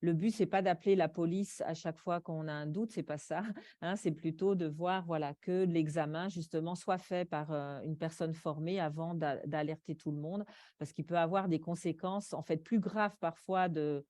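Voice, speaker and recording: Low, mellow woman presenting. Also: steady tone, normal speed, no echo, clean studio sound. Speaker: presenter from an advocacy organisation. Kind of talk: spoken conference presentation. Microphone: microphone.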